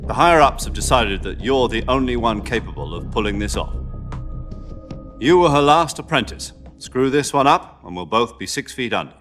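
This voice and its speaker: An actor playing an authoritative male military commander in a video game, briefing an agent in a measured, firm, explanatory way. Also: mid-range voice, lively intonation, a bit bright, soft background music, close to an everyday voice. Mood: grave, urgent. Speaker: mission commander. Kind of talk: dramatic video game voice acting.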